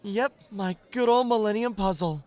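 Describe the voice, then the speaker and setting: A man voicing an innocent, eager teenage boy in an animated audio drama. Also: high voice, normal speed, natural voice.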